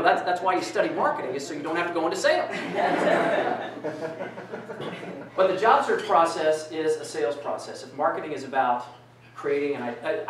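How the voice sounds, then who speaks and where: A man's voice: medium pitch, far from the mic, a little hiss or hum. A middle-aged man giving an interactive keynote talk in a conference room, asking the audience questions. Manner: animated, asks the audience questions. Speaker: keynote speaker. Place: conference room with projector screen, indoors. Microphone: far camera, no mic. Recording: echoey room from afar.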